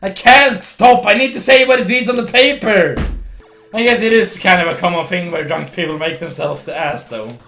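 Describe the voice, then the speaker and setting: Brief: young drunk Swedish man, giggly rambling gamer, high bright voice that swings a lot. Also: moderate pace, creaky, faint music behind. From Sweden. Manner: rambling, giggly, slurring and restarting words. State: voice going hoarse. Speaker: livestream gamer. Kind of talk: casual drunk gameplay commentary.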